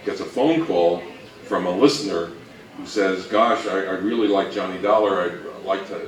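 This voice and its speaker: A man giving an informal history talk, halting and anecdotal, with dry humour. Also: low-pitched. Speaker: co-presenter of a talk on old-time radio history. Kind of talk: informal lecture to a live audience. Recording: echoey room from far off, faint noise.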